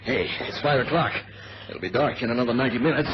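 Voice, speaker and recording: A man's voice: medium pitch, fast talker, natural voice. A man playing a greedy gold thief, dismissive of danger, in an old radio adventure drama. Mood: gleeful scheming. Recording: muffled, noisy vintage radio recording.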